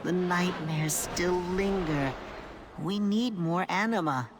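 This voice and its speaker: A woman voicing a calm, solemn female forest guardian in a fantasy game, with measured, serious delivery. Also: fairly low pitch, noisy background.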